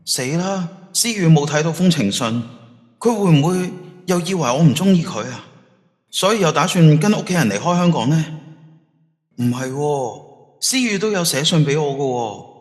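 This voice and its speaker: An actor playing an earnest, lovesick young student in an audio drama, with a Hong Kong Cantonese accent. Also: male voice, on the high side, expressive, moderate pace, in a large hall, close to an everyday voice. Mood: anxious, eager. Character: lovesick young male student, sent back in time, earnest and anguished.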